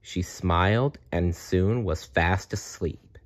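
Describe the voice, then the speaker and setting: A man's voice: low voice, slow pace. A man reading a children's storybook aloud as narrator, on a dull, lo-fi recording. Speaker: storybook reader.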